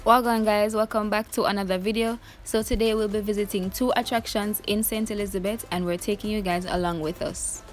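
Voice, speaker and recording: A woman's voice: mid-range voice, fairly even intonation, brisk, hardly any pauses. A woman with a Jamaican accent hosting a vlog, greeting her viewers in a cheerful, chatty way. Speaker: vlog host. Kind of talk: casual vlog narration to camera. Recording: music playing underneath.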